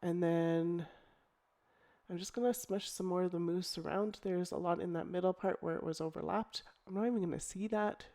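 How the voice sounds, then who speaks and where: Croaky middle-aged lady rambling through her scrapbook page, lowish mellow voice, lots of ums. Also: moderate pace. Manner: relaxed, chatty, thinking aloud with ums and restarts. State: croaky from a cold. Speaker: scrapbooking crafter. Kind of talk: casual crafting tutorial voice-over.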